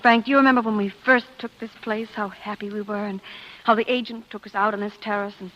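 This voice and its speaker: A woman's voice: mid-range voice, steady tone, quick pace, a little hiss or hum, natural voice. An actress playing a passionate, scheming wife in 1940s radio drama style, theatrical in her delivery. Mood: tender. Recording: dull vintage radio recording.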